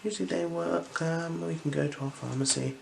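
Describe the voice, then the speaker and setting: Soft, creaky ASMR voice, noisy recording. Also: medium pitch, fairly even intonation. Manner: gentle, unhurried, soothing instructions. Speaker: ASMR performer playing an eye examiner. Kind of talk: ASMR role-play, soft-spoken with whispers. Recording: clear background noise.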